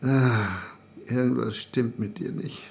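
A man's voice: medium pitch, fairly even intonation, takes it slowly, creaky, a little mellow. An actor playing a flustered, grumbling editor in his late fifties in naturalistic radio drama, with a hesitant, dryly sardonic way of talking. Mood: evasive, dry. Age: 50s. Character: flustered, grumbling 59-year-old male newspaper editor.

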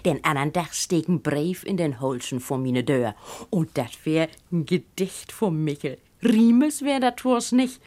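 A woman performing a proud, chatty village widow in Low German dialect, as an animated dramatic reading. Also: unhurried. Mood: reminiscing. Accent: Low German, northern Germany.

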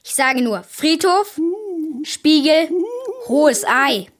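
A high, boyish voice of a cheeky, loyal kid. He talks casually with a cool, sarcastic edge, as in a children's radio play. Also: lively intonation, slow, fairly bright tone, heavily acted. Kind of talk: children's radio drama acting.

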